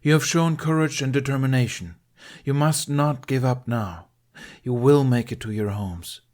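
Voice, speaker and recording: A man playing a calm, commanding Jedi master. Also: mid-range voice, pitched above the performer's own voice, close to an everyday voice. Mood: reassuring, projecting. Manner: formal, measured, enunciated. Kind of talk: acted audiobook dialogue in a science fiction story.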